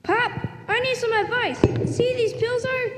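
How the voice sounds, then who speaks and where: Woman acting as a cocky little boy, very high voice, radio play, echoey hall. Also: fairly even intonation, unhurried. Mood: defiant, loyal. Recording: echoey reverberant hall, faint noise.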